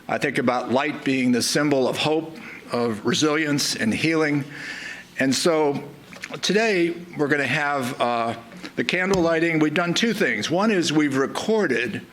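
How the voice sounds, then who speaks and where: A man hosting an event, speaking informally and reflectively to the audience in a halting way. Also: mid-range voice, a bit bright, a little hiss or hum. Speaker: event host giving welcome remarks. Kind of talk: informal welcome speech to an audience.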